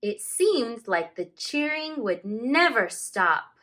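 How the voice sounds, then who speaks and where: A woman teacher reading a picture book aloud to children, expressively and with deliberate dramatic emphasis. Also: a little high, sing-song, fairly bright tone.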